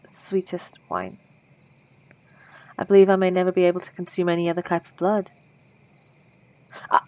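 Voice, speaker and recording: A woman's voice: on the low side, no echo, muffled recording, natural voice. A woman voicing a polite, lovestruck female vampire in an ASMR roleplay, speaking formally and old-fashioned with nervous stammers.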